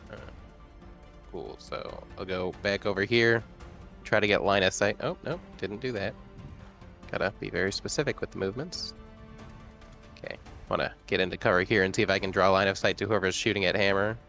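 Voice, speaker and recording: Lower-voiced man playing a tabletop game. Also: fairly quick, vocal fry, long pauses, bright, forceful tone, faint music behind. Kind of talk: casual game chat.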